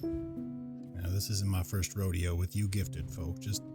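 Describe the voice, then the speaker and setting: Audio drama police officer, male actor, deep-pitched, weary and matter-of-fact, short on patience. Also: little pitch movement, slow, over loud music. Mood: weary, impatient. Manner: dry, matter-of-fact, folksy.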